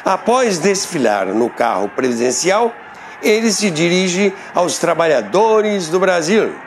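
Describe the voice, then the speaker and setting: An older man with a Brazilian Portuguese accent who hosts a radio history show, narrating from a script with expressive, emphatic delivery. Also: high voice, unhurried, slight background noise.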